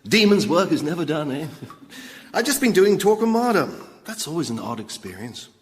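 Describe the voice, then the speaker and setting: A chatty, dim-witted demon torturer in a radio sitcom, heard in long reverb. His fairly high voice is very lively in pitch. He is polite, needy and a little self-pitying. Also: moderate pace. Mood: chirpy. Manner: cheerily matter-of-fact, self-pitying.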